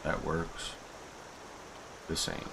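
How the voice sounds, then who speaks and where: A man muttering to himself in short fragments, giving casual commentary as he works at a computer. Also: extremely slow. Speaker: game modder streaming their work. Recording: noisy room hiss with keyboard typing.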